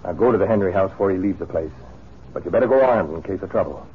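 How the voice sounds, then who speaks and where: An actor playing a hard-bitten, scheming escaped convict in a 1950s radio western. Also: male voice, sits low, quick pace, close to an everyday voice. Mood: ruthless. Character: ruthless male escaped convict, scheming gold hunter. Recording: tinny, hissy old radio recording.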